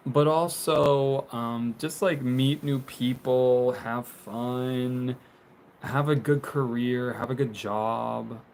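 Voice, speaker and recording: A man's voice: mid-range voice, fairly even intonation, takes it slowly, a little mellow, a little hiss or hum, poor audio. Rambling young man streaming from a closet. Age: 20s. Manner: rambling, many fillers and restarts, laughs often. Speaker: live streamer. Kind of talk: casual live stream chat, reading viewer comments. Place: small bedroom closet, indoors. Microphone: phone on tripod.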